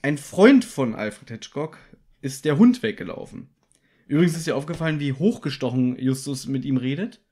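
A man talking casually on a podcast, walking through an old radio play. His voice sits a little high for a man, and he explains things patiently with a teasing edge. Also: lively intonation, normal speed, dark tone. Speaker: podcast co-host.

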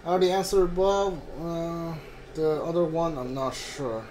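A man's voice: fairly high pitch, fairly even intonation, moderate pace, a little mellow, a little hiss or hum, poor audio. A man doing casual live game commentary, talking to his chat in a hesitant, repetitive, rambling way.

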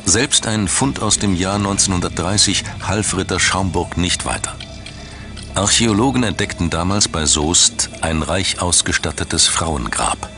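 A man's voice: low voice, unhurried, music in the background. A man reading scripted narration as the narrator of a documentary.

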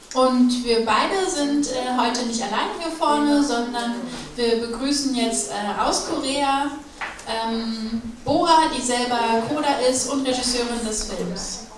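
A woman's voice: fairly high pitch, takes it slowly, a crowd in the background. Upbeat woman moderator in an echoey room. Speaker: event moderator. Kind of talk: moderating a panel. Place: gallery room with audience. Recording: echoey room from far off, audience.

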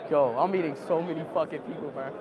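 A young American man streaming live at a crowded indoor gala, loud, excitable and full of slang, talking over people. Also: on the high side, fast talker, poor audio. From United States. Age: young adult. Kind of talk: excited livestream chatter. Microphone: small lapel mic. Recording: loud crowd chatter around.

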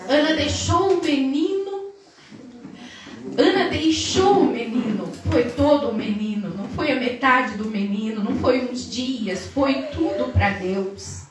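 A young Brazilian woman preaching a Pentecostal sermon in a sing-song, fervent cadence that climbs to shouts, recorded from far across a large tiled church hall. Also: sits high, measured pace, background noise. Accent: Brazilian Portuguese. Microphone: far camera across the hall.

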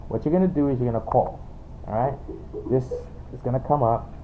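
A man with a Trinidadian accent giving an informal, halting software tutorial, explaining off the cuff and repeating himself. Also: mid-range voice. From Trinidad and Tobago. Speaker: tutorial presenter. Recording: tinny phone-like home recording, background noise.

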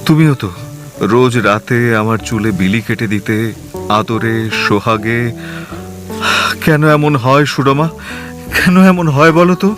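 An actor playing a young, newly married husband who stammers and fusses in an audio drama. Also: male voice, medium pitch, measured pace, long pauses, over music, lightly acted. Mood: tender.

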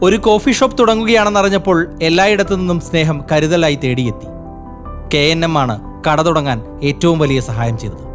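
A man reading a scripted news voice-over in a solemn, measured way. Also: a little high, faint music behind. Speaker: voice-over reporter.

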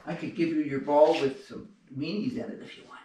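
Doting pet talk, slow, creaky, swooping pitch, echoey kitchen, far from the mic. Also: steady tone, mellow. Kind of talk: casual chat. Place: home kitchen with tiled floor. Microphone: distant camera. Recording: echoey room, far from mic, faint noise.

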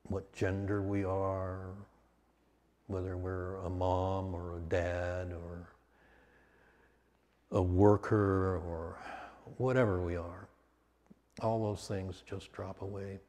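A man guides a meditation in a mellow voice that rings through a long reverb. He speaks very slowly and calmly, setting out short phrases with long pauses between them. The delivery is unscripted and measured. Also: deep voice. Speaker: meditation teacher. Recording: long reverberant hall sound.

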